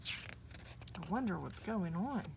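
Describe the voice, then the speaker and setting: A woman's voice: lowish voice, expressive, slow, gravelly, slight background noise, exaggerated voice. A woman voicing a curious, fearless young female monster in a storybook reading. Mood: puzzled.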